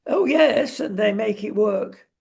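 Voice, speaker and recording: A male talk show host with a very raspy, heavily creaking voice. He speaks in short, emphatic phrases and readily hands out opinions and advice. His pitch sits high for a man. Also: lively intonation, slow, darkish tone. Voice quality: husky, gravelly. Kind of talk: opinionated casual discussion.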